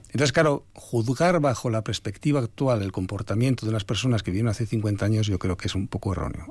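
An older Spanish man, an author being interviewed, talking in a reflective, measured way with some hesitations. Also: on the low side, a little mellow, dry recording. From Spain. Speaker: author guest. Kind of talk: radio interview.

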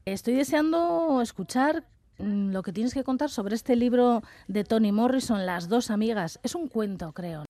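A woman with a fairly high voice hosts a radio interview. She talks conversationally to her guest in a very dry studio sound. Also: animated, unhurried, mellow, no echo.

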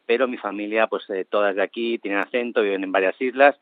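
A man born in the Canary Islands and raised in Barcelona, a traveling parent and author, talking calmly as an interview guest, often pausing and restarting phrases. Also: lowish voice, no room sound. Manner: relaxed, hesitant, restarts phrases. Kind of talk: casual interview over phone. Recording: tinny phone line.

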